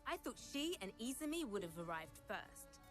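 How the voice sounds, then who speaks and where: High girlish voice, cheerful, eager, bouncy intonation, nautical game character, music behind. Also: sing-song, normal speed. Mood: upbeat. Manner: perky, nautical turns of phrase. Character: cheerful, eager young female student with sailor talk.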